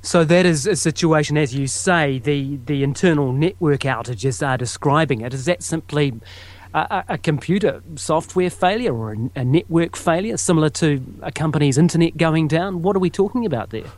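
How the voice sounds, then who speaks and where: A man's voice: a little high, moderate pace, darkish tone. A man presenting radio news and putting questions as an interviewer.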